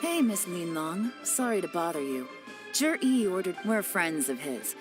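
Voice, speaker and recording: An actress playing a composed, shrewd woman who commands an intelligence team in a video game, speaking in a calm, measured, authoritative way. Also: fairly low pitch. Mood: smooth, polite. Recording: background music under the voice.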